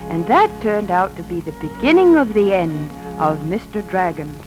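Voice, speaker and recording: A woman's voice: lowish voice, expressive, normal speed, over music, close to an everyday voice, low-quality recording. Earnest, devoted mother played by a woman, radio drama. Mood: tender storytelling. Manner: earnest, emotional, heartfelt. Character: devoted, pleading young adoptive mother. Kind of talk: 1940s radio drama acting. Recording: old 1940s radio recording.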